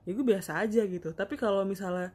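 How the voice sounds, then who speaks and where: A young woman co-hosting a casual podcast chat, talking in a measured, reflective way with frequent agreeing murmurs. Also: medium pitch, a little mellow. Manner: measured, reflective, many backchannels. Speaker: podcast co-host.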